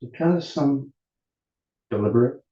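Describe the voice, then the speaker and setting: A man teaching meditation at a retreat in a calm, deliberate, unhurried way with long pauses between phrases. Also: mid-range voice. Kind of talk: guided meditation instruction at a retreat. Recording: muffled, roomy echoing sound.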